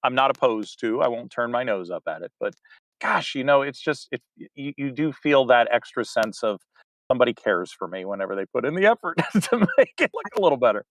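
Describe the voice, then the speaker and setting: A man's voice: medium pitch, very animated, a bit bright, no room sound. Earnest man chatting as a guest. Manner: earnest, halting with restarts. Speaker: guest director. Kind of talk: casual debate chat.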